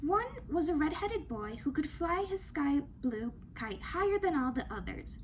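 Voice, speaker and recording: High, animated woman reading a storybook. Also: moderate pace, slight background noise. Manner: dramatic storytelling with emphatic questions and asides. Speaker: storybook reader. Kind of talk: expressive children's read-aloud.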